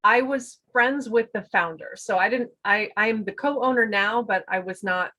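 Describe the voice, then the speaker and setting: A woman's voice: fairly high pitch, moderate pace, sharp tone. A woman, a guest and business owner, talking chattily over a video call with frequent fillers and light laughter. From United States. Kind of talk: casual interview talk.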